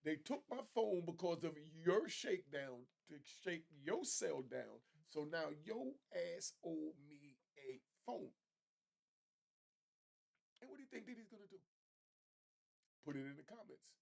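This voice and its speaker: A man's voice: a little high, sing-song, unhurried, gravelly, long pauses, a little mellow. A man giving informal opinion commentary to viewers, talking in street slang with emphatic repetition.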